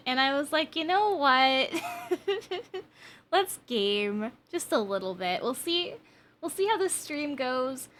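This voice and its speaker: A woman's voice: high-pitched, pushed and bright. A woman in her twenties chatting casually with viewers on a game livestream, with a chatty, bubbly, giggly manner. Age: 20s. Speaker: game streamer.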